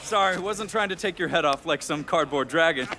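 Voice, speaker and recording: An actor playing a brash teenage quarterback who teases the people around him. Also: male voice, a little high, brisk, bright, forceful tone, faint music behind. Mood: cocky. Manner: teasing, brash. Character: cocky teenage star quarterback.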